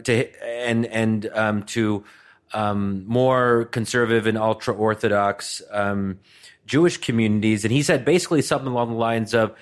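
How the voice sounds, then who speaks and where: A man co-hosting a podcast, telling a story in a rambling, casual way with plenty of fillers. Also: medium pitch. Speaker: podcast co-host. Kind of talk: casual discussion.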